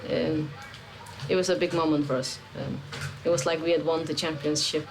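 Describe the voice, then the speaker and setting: A man's voice: on the high side, fairly even intonation, normal speed, background noise. Hesitant man searching for words. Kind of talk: interview in non-native English.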